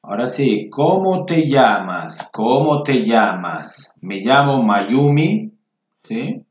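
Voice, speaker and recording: A man's voice: mid-range voice, sing-song, takes it slowly. A man teaching Spanish, reading workbook exercises aloud and patiently repeating phrases for learners. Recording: roomy, dull lo-fi sound.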